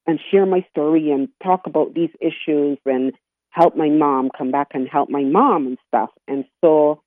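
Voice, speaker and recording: A woman in her sixties from Barbados, a guest talking in an interview, often halting and restarting her sentences. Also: deep voice, no echo. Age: 60s. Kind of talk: interview conversation. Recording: tinny phone line.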